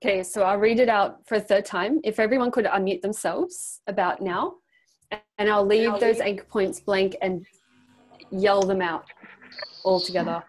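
A woman's voice: medium pitch, normal speed, poor audio. Encouraging young woman leading a group exercise. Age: young adult. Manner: encouraging, affirming. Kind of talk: coaching a group on a video call, reading a prepared pitch aloud. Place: small indoor room, on a group video call. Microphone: computer microphone on a video call.

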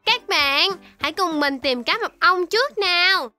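Casting: little cartoon baby shark, piercingly bright, exclamatory, unhurried, music underneath. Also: female voice, very high voice, expressive, full character voice. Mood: determined, cheerful. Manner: exclamatory, sing-song, addresses young viewers directly. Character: eager little baby shark, young child hero. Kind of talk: children's cartoon dubbing.

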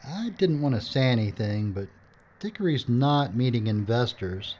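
A man's voice: mid-range voice, animated, moderate pace, darkish tone, close to an everyday voice. An actor playing a practical, pedantic man in an audio drama, matter-of-fact and wry. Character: practical, pedantic man filmmaker, quick to correct. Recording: dull lo-fi audio, faint noise.

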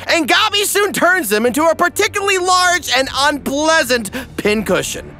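A man narrates a comedic recap with wry, theatrical emphasis. His high, forceful voice swoops dramatically across a very wide range, with music behind it. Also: big pitch swings, normal speed.

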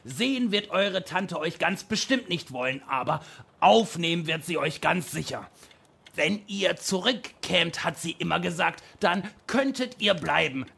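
An actor playing a shrivelled, eager old manservant in a radio play, deferential and quirky, with a husky, creaky voice. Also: male voice, fairly high pitch, animated, a little slow, sharp tone, exaggerated voice. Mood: reassuring. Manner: deferential, old-fashioned formal address. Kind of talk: German radio play acting.

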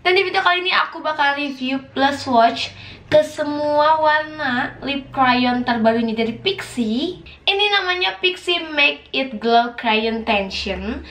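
Young woman, hoarse voice, fairly high, chatty beauty vlogger, echoey small room. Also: expressive, a little slow, a bit bright. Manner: chatty, gushing, full of fillers. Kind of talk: casual product review. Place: small indoor room, plain wall.

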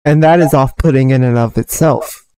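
Smooth-voiced joking man. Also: mid-range voice, moderate pace, mellow, rough recording. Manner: wry, joking. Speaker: player. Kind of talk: playing a tabletop game online.

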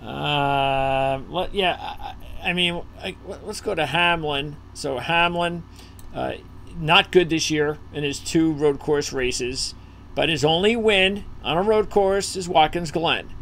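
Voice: medium pitch, takes it slowly, a bit bright, slight background noise. A host leading a casual racing betting preview over a video call, thinking aloud with fillers while scanning a list.

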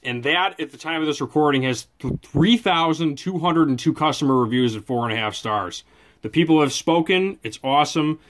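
A man's voice: on the high side, brisk, fairly bright tone. A man giving a casual, off-the-cuff product review at a desk, often correcting himself as he goes. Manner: chatty, off-the-cuff, with self-corrections. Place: indoors at a desk.

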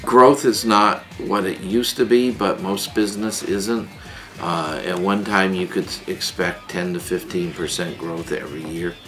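An older man, a radio executive, talking business in a measured interview. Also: deep voice, fairly even intonation, a bit bright, soft background music. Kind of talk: documentary interview.

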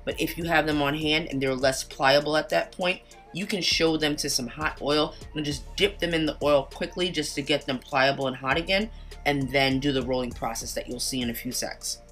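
A woman narrating a cooking video in a voice-over, chatty and relaxed, giving casual step-by-step instructions. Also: low-pitched, moderate pace, faint music behind. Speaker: cooking channel host. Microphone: home voice-over mic.

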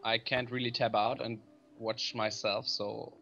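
A man's voice: medium pitch, little pitch movement, unhurried, pushed and bright, soft background music. German-accented guy about 20, dry blunt teasing, muffled lo-fi headset sound. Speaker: game player on voice chat. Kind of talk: casual gaming chat.

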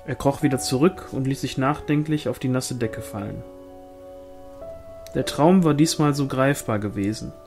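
A man's voice: medium pitch, normal speed, a little mellow, faint music behind. A man reading a fantasy novel aloud as scripted audiobook narration. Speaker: audiobook reader.

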